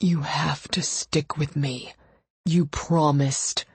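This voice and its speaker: A woman voicing an anguished, brooding man in an audiobook romance, raspy and halting as he pleads. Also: low voice, steady tone, dry recording, full character voice. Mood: desperate, pleading. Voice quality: raspy, rough-throated. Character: anguished gruff man, desperate brooding lover.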